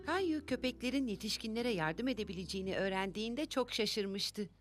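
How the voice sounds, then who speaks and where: A woman's voice: fairly high pitch, animated, moderate pace, lightly acted. A woman playing a kindly storyteller, reading scripted narration for a preschool cartoon. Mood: storytelling. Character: kindly storyteller of a small boy's adventures. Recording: music under the narration.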